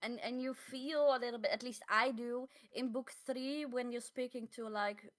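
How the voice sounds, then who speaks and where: Female podcast co-host, voice a bit high, casual halting delivery with repeated words. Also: steady tone, fairly bright tone. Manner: halting, repeats words, non-native English phrasing. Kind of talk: casual chat.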